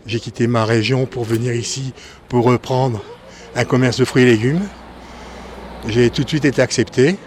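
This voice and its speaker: A man from northern France, a former cook, giving frank opinions slowly with hesitations. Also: medium pitch, steady tone. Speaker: interviewed resident, former cook. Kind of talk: street interview.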